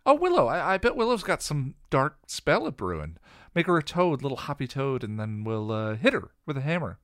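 A man voicing an anxious, blunt young woman who blurts out ideas in a rapid, panicky rush. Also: mid-range voice, very animated, no echo, studio quality, voice pushed up. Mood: frantic.

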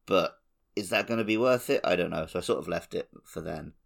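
A man's voice: deep voice, brisk, gravelly. A man co-hosting a podcast, talking casually about a video game. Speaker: podcast host.

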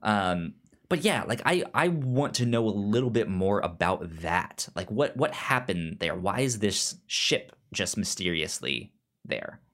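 A man co-hosting a podcast in a casual film discussion, halting and often repeating words and syllables as he forms his thoughts. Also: on the low side, lively intonation, fairly bright tone. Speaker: podcast co-host.

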